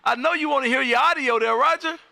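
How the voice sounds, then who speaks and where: A man hosting an amateur radio livestream, chatting casually with a loud, folksy showman's manner. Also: very high voice, fast, creaky, dry recording. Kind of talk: casual radio contact chat. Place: home radio room.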